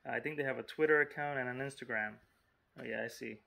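A man's voice: mid-range voice, steady tone, fast, vocal fry, bright, forceful tone. Chatty man showing a map. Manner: chatty and informal, lots of fillers and asides. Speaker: vlogger. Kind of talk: casual vlog narration.

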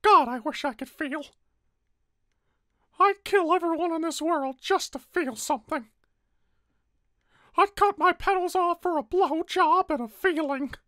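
A woman's voice: very high voice, measured pace, pauses often, bright, forceful tone, no echo, studio quality, full character voice. A woman voicing a small, bitter, foul-mouthed talking flower, numb and angry, in a fan roleplay drama. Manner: sarcastic, cursing, stammering when cornered.

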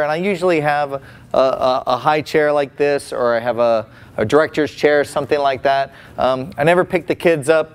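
A man's voice: fairly high pitch, clear, resonant tone. A man in his forties giving a casual, folksy face painting demonstration with many restarts, indoors in a small room.

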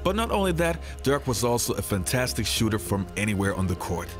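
A man with a lively, bouncing delivery narrates a scripted countdown. Music plays clearly behind him, like a produced video voice-over. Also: lowish voice, fairly quick. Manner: animated, list-style presentation. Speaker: basketball history channel host. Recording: background music under voice.

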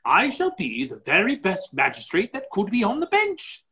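A man's voice: high voice, wildly swooping pitch, normal speed, sharp tone, full character voice. A middle-aged man playing a genial, muddle-headed, blustering duke in a play reading over a video call. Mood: complacent. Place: indoor office, video call. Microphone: computer microphone on a video call.

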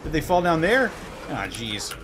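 A man's voice: a little high, big pitch swings, measured pace, background noise. A man streaming a game with casual, exasperated and theatrical chatter, swearing freely. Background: game music.

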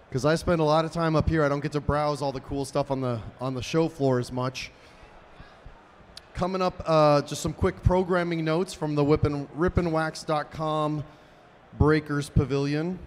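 A young man with a slightly high voice, hosting a live stage show in an upbeat, chatty way as he talks to the crowd. He speaks into a handheld mic in a large convention hall, with a faint wash of hall noise behind him. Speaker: stage host.

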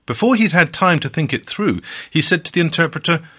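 Male podcast narrator: animated, swooping delivery, husky edge, measured dramatic emphasis. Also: fairly high pitch. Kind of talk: scripted storytelling narration.

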